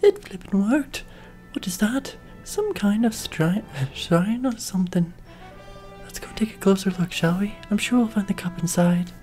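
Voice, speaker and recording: A man's voice: high-pitched, animated, measured pace, darkish tone, pitched above the performer's own voice. A man raising his voice to play a plucky young adventurer companion, reading game dialogue in a folksy, lilting way. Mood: curious. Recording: faint game music behind.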